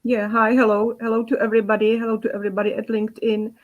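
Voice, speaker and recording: A woman from the Czech Republic introduces herself on a video call, halting and full of fillers. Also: a little high, completely flat delivery, phone-quality sound. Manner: hesitant, many fillers. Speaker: hackathon participant with a marketing background.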